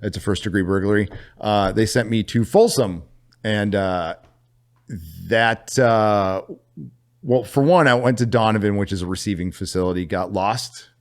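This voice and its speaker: A middle-aged man from California telling a story as a podcast guest, animated and profane, laughing as he goes. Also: lowish voice, moderate pace. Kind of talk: casual storytelling.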